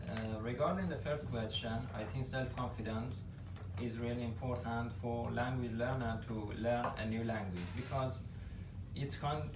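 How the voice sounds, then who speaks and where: Iranian-born guy talking slow in a class group, noisy background. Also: medium pitch. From Iran. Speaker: student. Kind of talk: group discussion presentation. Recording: roomy, distant, noisy classroom recording.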